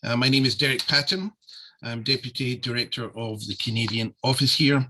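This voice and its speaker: A man's voice: medium pitch, a little fast, noisy background. A man with a Scottish accent giving a friendly, loosely spoken webinar presentation as a trade office deputy director, on a video call. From Scotland. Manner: friendly, loose, with many uh fillers.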